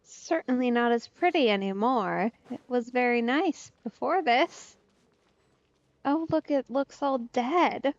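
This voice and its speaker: Unhurried, high-voiced woman gaming. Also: no echo. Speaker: streamer, let's play host. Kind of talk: casual gameplay commentary. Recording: slightly dull, dry close recording.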